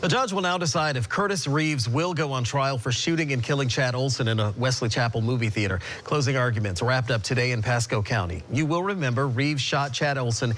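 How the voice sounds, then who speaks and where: A man's voice: medium pitch, brisk, few pauses, a bit bright. Male American news anchor. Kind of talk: broadcast news reading.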